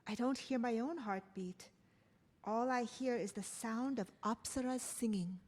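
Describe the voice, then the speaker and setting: Woman reading as a solemn lovelorn queen, higher voice. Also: measured pace, darkish tone. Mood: yearning, solemn. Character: lovelorn, regal ancient Khmer queen. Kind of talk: play table read with poetic monologues.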